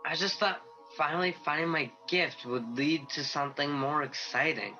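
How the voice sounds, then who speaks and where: A man's voice: fairly high pitch, moderate pace, pushed and bright. A man playing a restless, doubting angel in a radio play, questioning and discontented. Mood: frustrated, doubting. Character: restless young male angel, doubting and eager for action. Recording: muffled lo-fi video call recording with music.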